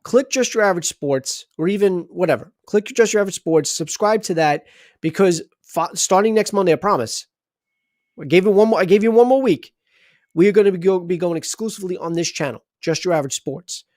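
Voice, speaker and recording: A chatty man hosts a sports livestream and rambles through his sign-off. His voice sits a little high for a man. The sound is dry and close. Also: a little fast, a little mellow, no room sound. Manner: rambling, chatty, many restarts. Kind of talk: casual sports livestream talk.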